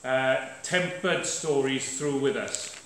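Male preacher of middling pitch, casual, halting delivery, heavy church echo, faint noise. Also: a bit bright. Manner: hesitant, many fillers and restarts, informal. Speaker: visiting preacher. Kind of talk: sermon. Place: church sanctuary, at a lectern.

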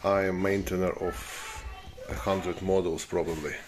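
Man, forties, deep voice, unhurried pace, casual phone video. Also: little pitch movement. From Ukraine. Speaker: open-source contributor. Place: indoor room. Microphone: phone at arm's length.

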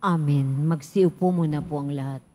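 Woman commentator at mass, warm low voice, steady responses. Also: moderate pace. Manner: steady, plain congregational responses. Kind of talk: liturgical responses and instructions. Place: large church. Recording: echoey church hall.

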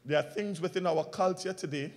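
A man preaching a sermon as pastor, asking the congregation call-and-response questions and swinging between loud emphatic lines and quiet low ones. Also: fairly quick.

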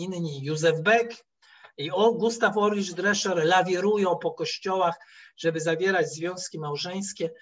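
Middle-aged guy doing a history lecture online, bit high voice, stops and starts, muffled lo-fi sound. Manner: halting, digressive storytelling with repeated words. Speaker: history professor, guest lecturer. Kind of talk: spoken history lecture with slides. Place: indoor room, video call. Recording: dull, lo-fi online call audio.

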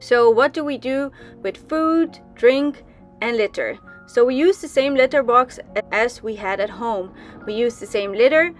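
A woman's voice: fairly high pitch, a little slow, faint music behind. A young woman from the Netherlands giving casual voice-over narration for a sailing vlog, chatty, with frequent ums and restarts. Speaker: sailing vlogger.

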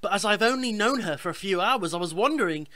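A woman's voice: mid-range voice, animated, quick pace, fairly bright tone, no room sound, lightly acted. A woman playing an earnest, plucky young male hero in a radio pantomime. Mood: hopeful.

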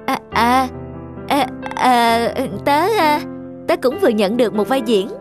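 A woman voicing a kind, modest young woman student actress in an animated series, speaking gently and hesitantly. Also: on the high side, unhurried. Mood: shy. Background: background music.

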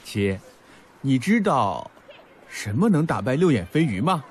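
An actor playing a playful, affectionate young male student who gently teases in an audio drama. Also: mid-range voice, sing-song, measured pace, slight background noise. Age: young adult.

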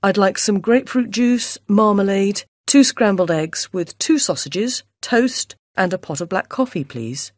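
A woman's voice: medium pitch, a little slow, few pauses, no room sound, clean studio sound. A woman reading a scripted learner dialogue, ordering in a polite, careful way. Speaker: hotel guest in dialogue.

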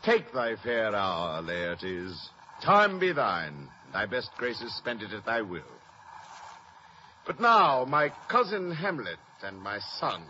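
A man's voice: fairly low pitch, wildly swooping pitch, takes it slowly, bright, forceful tone, full character voice. An actor playing a stately, formal king addressing his court in 1950s radio Shakespeare. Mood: gracious. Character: King Claudius, smooth regal usurper addressing his court. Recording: muffled, noisy 1950s radio broadcast.

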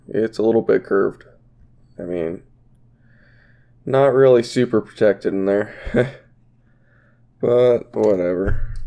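A man's voice: medium pitch, dark tone. A young man with a stuffy voice from a cold, chatting casually and rambling as he opens trading card packs at his desk. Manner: rambling, filler-heavy, laid-back. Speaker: YouTube card-opening vlogger. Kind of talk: casual unboxing chat to viewers. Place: home desk, indoors.

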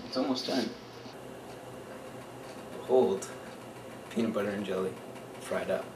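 A man's voice: fairly low pitch, a little slow. A young man hosting a homemade cooking show in a small kitchen at night, rambling offhand with many fillers. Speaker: home cooking show host. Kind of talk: casual home cooking demo. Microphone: handheld camera mic, close. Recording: noisy home camera audio.

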